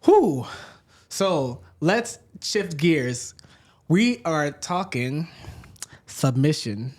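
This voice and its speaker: A man's voice: fairly high pitch, sing-song, drawn-out words, a little mellow. A man of about thirty, hosting a casual podcast chat and steering it with questions. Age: 30s.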